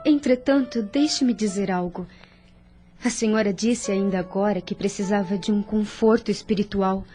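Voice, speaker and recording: An actress playing a friendly, devout young nurse in an audio drama, soothing, polite and earnest, with a Brazilian accent. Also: female voice, mid-range voice, normal speed, dark tone, close to an everyday voice. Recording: music under the voice.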